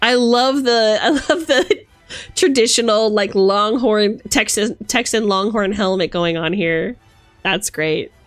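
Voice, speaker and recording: A woman's voice: mid-range voice, slow pace, dry recording, soft background music. A woman doing casual livestream commentary as host, playful and theatrical, mixing gushing exclamations with dry asides. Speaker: streamer hosting a live book look-through.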